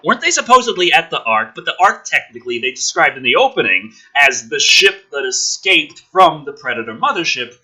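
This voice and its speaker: A man's voice: on the high side, very animated, brisk, few pauses, pushed and bright, slightly rough. A man reading a script dramatically and breaking off into sarcastic, exasperated, sweary commentary as the host.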